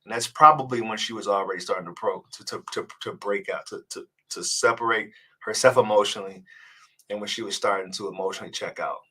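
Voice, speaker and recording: A man with a somewhat low voice gives relationship advice in a loose, conversational live-stream manner. He sounds congested from a cold and often stumbles and repeats words as he works toward his point. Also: fast talker, sharp tone, slightly rough. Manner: casual, earnest, repeats words when restarting. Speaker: dating coach. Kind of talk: live-stream advice chat reading comments.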